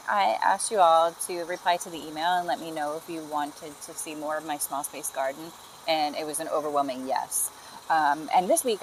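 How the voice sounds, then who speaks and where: Friendly woman host, low pitch, casual demo tone, faint outdoor noise. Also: rough recording. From Texas. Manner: chatty, friendly, uses y'all. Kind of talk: casual product demo vlog.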